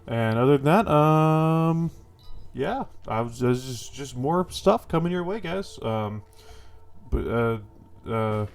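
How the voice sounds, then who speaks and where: A man's voice: medium pitch, expressive, slow, creaky, smooth, soft background music. A man with a stuffy, congested voice giving casual, rambling impressions of a game as host of his gaming channel, full of fillers and the odd swear. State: congested from a cold.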